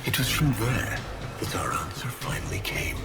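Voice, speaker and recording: An actor playing a cruel, pompous aristocratic villain in a video game, delivering breathy, deliberate theatrical monologues. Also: male voice, mid-range voice, huge pitch swings, over loud music. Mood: solemn. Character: cruel, grandiose male aristocratic villain, coldly taunting.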